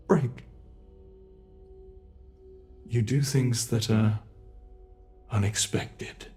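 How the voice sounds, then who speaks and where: A British man voicing an ancient, bored male monster in a horror ASMR roleplay, speaking slowly and intimately with soft whispers. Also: lowish voice. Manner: slow, intimate, menacing delivery with soft whispers.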